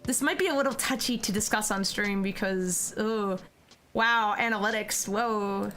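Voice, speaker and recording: A woman's voice: mid-range voice, unhurried, fairly bright tone. A young American woman streaming, chatting casually with her viewers and giggling often. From United States. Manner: giggly, chatty, answers chat questions.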